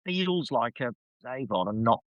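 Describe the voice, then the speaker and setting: A man with a medium-pitched voice chats as a guest on a podcast. He speaks with emphatic enthusiasm and a wry edge, leaning on the words he relishes. The recording is dry and free of room echo. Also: sing-song, unhurried, fairly bright tone, lo-fi sound. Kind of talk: casual podcast discussion.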